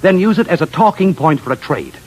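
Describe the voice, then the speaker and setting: An actor playing a wry, brash, scheming scientist in a 1950s radio drama. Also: male voice, fairly high pitch, very animated, brisk, a little mellow, breathy edge. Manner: sardonic, brash. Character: wry, scheming, quick-witted male scientist, informal and grumbling.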